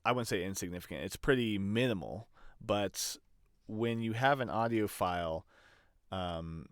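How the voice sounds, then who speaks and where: A man with a low-pitched voice talks through an audio production demonstration at an unhurried, slow pace. He is casual and unscripted, often hesitating as he works things out aloud. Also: clear, resonant tone, no room sound, studio quality. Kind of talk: casual unscripted screen-recorded tutorial. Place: indoors at a computer.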